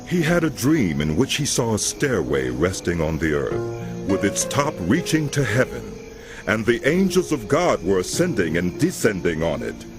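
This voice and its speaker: A man narrating scripture as a dramatic scripted story, measured and solemn. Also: on the low side, huge pitch swings. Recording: loud music behind voice.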